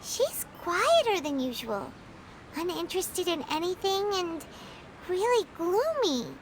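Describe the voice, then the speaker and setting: Tiny chatty game fairy, squeaky, childlike, extremely high pitch, animated swings, excitable. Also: female voice, a little slow, a little hiss or hum, full character voice. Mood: concerned. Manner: refers to self in third person, exclaiming. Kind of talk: video game voice acting.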